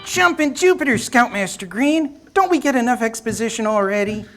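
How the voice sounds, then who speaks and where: Woman acting boy scout, high voice, very animated, gee-whiz, cheeky, excitable, old-style space radio serial. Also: fairly quick, over loud music, natural voice. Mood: eager, cheeky. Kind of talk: retro radio serial parody.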